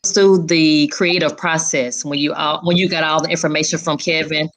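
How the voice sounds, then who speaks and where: A woman's voice: low voice, fairly even intonation, moderate pace, a bit bright, rough recording. A woman hosting an interview, asking questions in a conversational way. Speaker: interviewer, host.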